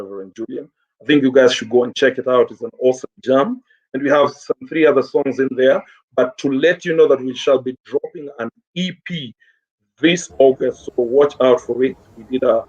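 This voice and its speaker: A man's voice: medium pitch, a little mellow. A Kenyan man speaking as a music guest in a casual interview, encouraging, repeating phrases and mixing English with Swahili slang. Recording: muffled recording with clear background noise.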